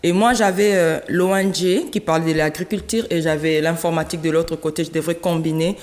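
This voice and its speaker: A woman in her late thirties from the Democratic Republic of Congo, telling her story as an interview guest, animated and laughing often. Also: sits low, brisk. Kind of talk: conversational interview. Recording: long hall reverb.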